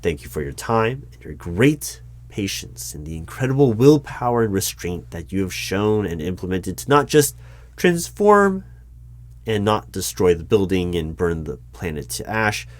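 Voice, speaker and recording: Male actor as exasperated hiring manager for a covert bureau, low voice, nervous, officious, apologetic, ASMR interview roleplay. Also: animated, no echo. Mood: strained politeness. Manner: halting, apologetic, officious, wordy. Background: computer keyboard typing.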